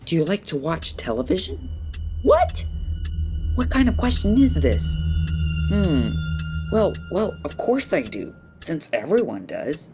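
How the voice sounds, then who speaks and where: A woman playing a bright, modest young boy in an audio drama, polite and questioning, thinking aloud. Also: low-pitched, huge pitch swings, measured pace, over music, exaggerated voice. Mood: puzzled, pondering. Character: bright, modest, earnest orphan boy taking a test.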